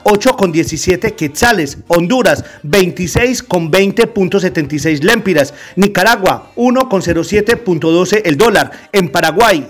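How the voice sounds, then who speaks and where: A man presenting a radio segment, reading a steady list of figures. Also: high voice. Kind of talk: reading exchange rates on radio.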